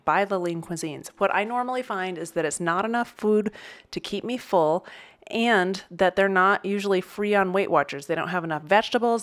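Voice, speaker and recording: A woman's voice: on the low side, a bit bright. Practical woman talking in an echoey kitchen. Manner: conversational, practical, upbeat. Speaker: vlogger giving meal prep tips. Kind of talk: casual advice to camera. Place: home kitchen, indoors. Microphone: webcam or laptop at close range. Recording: echoey room sound.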